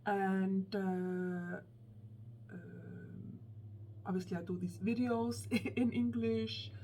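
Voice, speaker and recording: A woman's voice: mid-range voice, fairly even intonation, very slow, warm tone, a little hiss or hum. A woman in her thirties from Iceland with an Icelandic accent, chatting casually to camera about books, thoughtful and hesitant, with many fillers and restarts. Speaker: book vlogger.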